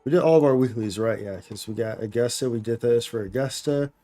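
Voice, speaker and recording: A man livestreaming a game with a mellow, middle-pitched voice. He thinks aloud in slangy, looping phrases over faint music. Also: fairly quick. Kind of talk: casual live gameplay commentary.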